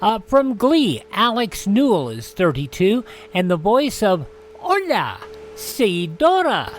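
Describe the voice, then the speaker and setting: A male radio host presents a roundup in an animated, varied voice. There is faint noise in the background. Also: sits high, slow pace. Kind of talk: radio birthday roundup and show announcing.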